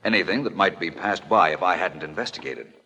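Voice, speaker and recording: An actor playing a suave, inquisitive amateur detective, polished and unruffled, in a 1940s radio drama. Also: male voice, low-pitched, fairly quick, sharp tone, rough recording. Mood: musing, assured. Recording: vintage 1940s radio recording.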